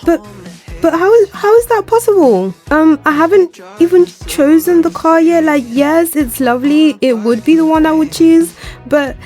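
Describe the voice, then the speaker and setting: Sassy young student, woman acting: high girlish voice, expressive, game roleplay drama, music behind. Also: normal speed, lightly acted. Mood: surprised. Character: exasperated, sassy young female university student.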